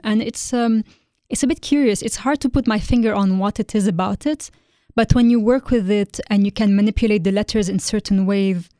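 Thoughtful woman from Lebanon, mellow voice. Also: medium pitch, dry recording, studio quality. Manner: thoughtful, hesitant with frequent ums. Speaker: guest artist. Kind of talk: interview conversation.

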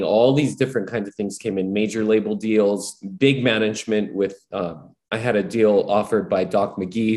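A man's voice: on the low side, a little mellow. A man from Hawaii, a musician guest, telling his story in an earnest, rambling way, with many "you know"s. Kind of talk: casual reflective storytelling.

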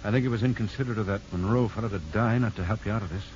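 Man acting a serious concerned doctor in a 50s radio play, really deep voice, talks fast, restrained. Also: a little mellow, lightly acted. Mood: grave, concerned. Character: grave, concerned doctor, a steadying family friend. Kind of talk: 1950s American radio drama acting. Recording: dull, faintly noisy old radio recording.

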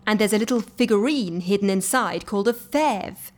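An actress playing a brisk, droll, capable woman who gently bosses an eccentric office in an audio comedy. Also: medium pitch, animated, lightly acted. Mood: explanatory. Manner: droll, organised, gently bossy. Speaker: actor in a comedy fiction podcast. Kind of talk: audio comedy drama acting.

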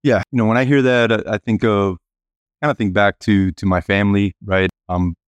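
A man's voice: on the low side, steady tone, normal speed, clean tone, dry recording, highs cut off. A man from the United States talking as a podcast guest, easygoing and filler-heavy. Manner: easygoing, fillers like "you know". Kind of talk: casual interview talk.

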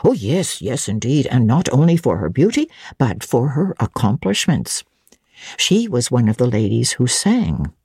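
A woman voicing an elderly, genteel housekeeper in a classic audiobook, chatty and admiring as she savours each detail in a measured way. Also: very deep voice, big pitch swings, warm tone, breathy edge, dry recording, clean studio sound, voice pushed down, heavily acted. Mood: fondly admiring. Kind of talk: dramatic audiobook reading of classic literature.

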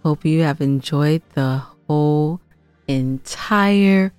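A woman's voice: sits low, takes it slowly, darkish tone, smooth, dry recording, soft background music. A woman co-hosting a casual recap podcast, gushing and repeating herself for emphasis. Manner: effusive, gushing, emphatic repetition. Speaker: podcast co-host and scriptwriter.

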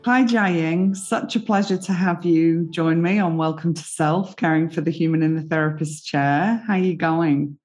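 A woman's voice: low-pitched, moderate pace, hardly any pauses, darkish tone, faint music behind. A woman hosting a podcast interview, introducing her guest and asking encouraging questions with frequent affirming yeahs. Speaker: podcast host.

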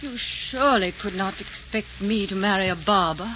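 A woman's voice: medium pitch, moderate pace, sharp tone, lightly acted. An actress playing a proud, romantic young noblewoman in earnest, melodramatic 1940s radio style. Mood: cool, haughty. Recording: noisy, muffled 1940s radio recording.

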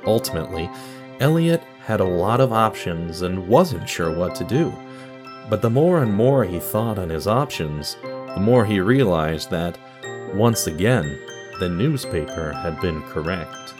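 A man's voice: sits low, animated, measured pace, music in the background. A man delivering scripted narration and announcements for an audio drama.

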